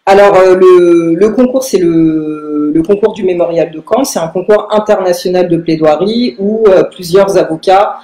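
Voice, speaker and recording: Young woman, warm dark tone, hesitant interview answers, frequent hesitation sounds, strong room echo. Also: fairly low pitch. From Paris, France. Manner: hesitant, halting phrasing with very frequent filled pauses. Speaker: lawyer, interview guest. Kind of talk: podcast interview answers about own career. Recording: roomy, echoing room sound.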